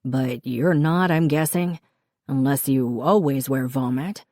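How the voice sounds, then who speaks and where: An easygoing, kindly man played by a woman narrator, pitched low. He speaks in a casual, wry and teasing way, unhurried, as a character in an audiobook. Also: warm tone, no echo, studio quality.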